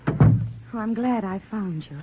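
An actress playing an anxious, pleading, well-bred young daughter in 1940s radio drama style. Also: female voice, medium pitch, takes it slowly, noisy background, lightly acted. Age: young adult. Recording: muffled, narrow 1940s radio recording.

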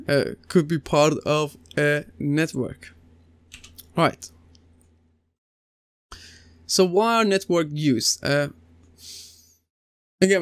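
A man's voice: a little high, clean tone, no room sound. A man in his thirties giving a recorded computing lesson over slides, talking conversationally with many fillers and restarts. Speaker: computing teacher. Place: small indoor room, seated at desk.

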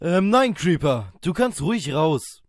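A man's voice: fairly high pitch, sing-song, unhurried. A man voicing an earnest, eager young monster trainer in a cartoon fandub, dramatic and exclamatory.

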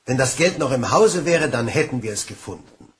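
Man playing earnest, expressive bank subordinate. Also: mid-range voice, moderate pace, a little hiss or hum, natural voice. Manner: deferential, formal. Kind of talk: German crime radio play acting.

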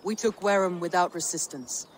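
A woman voicing a steady, hard-headed Viking war leader in a game, confident and formal. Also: on the low side, fairly quick, a little hiss or hum, lightly acted, poor audio. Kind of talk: video game cutscene acting.

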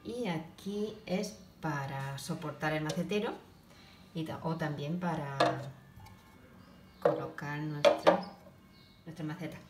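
A woman's voice: deep voice, over loud music. Woman narrating a hands-on DIY project. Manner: halting, talks while working, frequent restarts and soft asides. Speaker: DIY video maker. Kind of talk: step-by-step craft demonstration. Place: home kitchen, indoors. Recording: echoey room with background noise.

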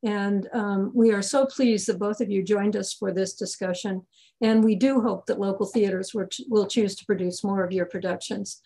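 Creaky-voiced woman in her sixties. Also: mid-range voice, fairly even intonation, normal speed, dark tone, poor audio. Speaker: event organizer giving closing remarks. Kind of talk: formal announcements, partly read. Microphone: video call computer microphone.

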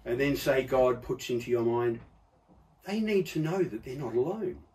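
A man in his fifties gives a conversational sermon in a mellow, relaxed voice. He explains each point with deliberate stresses and pauses. The microphone sits a little way off from him. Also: medium pitch. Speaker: preacher. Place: book-lined study or office indoors. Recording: slightly distant microphone.

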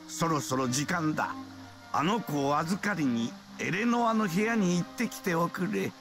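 A kindly elderly royal grandfather from a fantasy game. He talks in gentle, old-fashioned phrases with lively pitch, loud music behind him. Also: normal speed. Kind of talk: voice-acted fantasy game cutscene.

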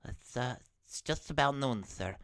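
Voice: medium pitch, expressive, moderate pace, a bit bright, clear, resonant tone, no room sound, studio quality. A performer playing a nervous, deferential assistant in a comedy sketch, full of stammers and hesitations.

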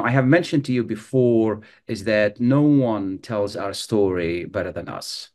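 A middle-aged man hosting a radio interview show, talking conversationally with frequent restarts, on a webcam. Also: on the low side. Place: studio with video backdrop.

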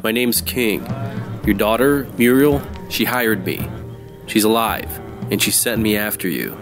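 A hardboiled supernatural detective from a radio play, acted by a man, talks low and tough. He is wry, slangy and unflappable, with music behind him. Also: unhurried. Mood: steady, insistent.

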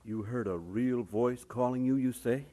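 A man's voice: mid-range voice, moderate pace, warm tone, close to an everyday voice. An actor playing a grave, kindly minister who mentors a young man in an audio drama. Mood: solemn, fatherly.

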